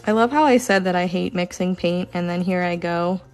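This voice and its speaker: A woman casually chatting during a live stream while she paints, rambling with lots of fillers and sometimes talking softly. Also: lowish voice, normal speed, soft background music, rough recording. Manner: says she is relaxed, talks softly at times.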